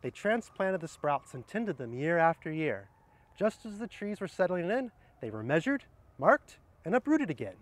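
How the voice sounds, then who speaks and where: A middle-aged man speaking outdoors, sharing picture books in a gentle, explanatory way and addressing viewers directly. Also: on the high side, sing-song, moderate pace. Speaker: horticulturist presenting children's books. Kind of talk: picture-book read-aloud and casual book recommendations. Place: outdoors under trees in an arboretum garden.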